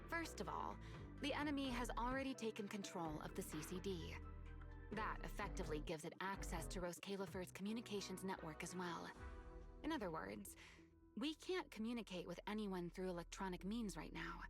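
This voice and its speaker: A woman's voice: medium pitch, close to an everyday voice. Woman acting sly, confident strategist over music. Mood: serious, planning. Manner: playful, theatrical, measured delivery. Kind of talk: scripted video game voice acting. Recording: game music behind the voice. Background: background music.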